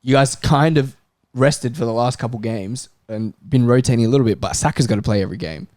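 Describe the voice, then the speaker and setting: A man talks football in a casual panel style. His voice sits at a middling pitch with a soft, dark tone, recorded dry and close. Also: fairly quick, no echo.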